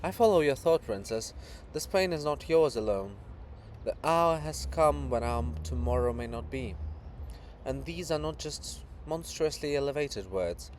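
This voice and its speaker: A man voicing a monument of a brooding, fatherly old poet in a stage drama, speaking in a solemn, searching, deliberate way. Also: medium pitch, animated, a little hiss or hum, natural voice. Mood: grave, knowing.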